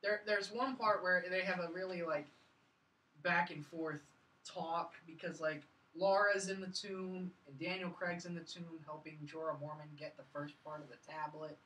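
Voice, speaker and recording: A man's voice: a little high, steady tone, normal speed, sharp tone, recorded from a distance, rough recording. A man chatting casually on a film review podcast, rambling a little and correcting himself as he goes. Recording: off-mic with faint background noise.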